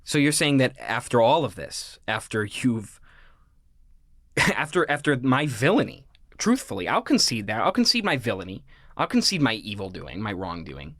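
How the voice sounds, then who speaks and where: A man acting a suspicious, melodramatic son who styles himself a villain in a comedic audio drama, with grandiose, halting phrasing. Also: medium pitch, very animated, a bit bright, no echo, clean studio sound. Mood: incredulous, wary.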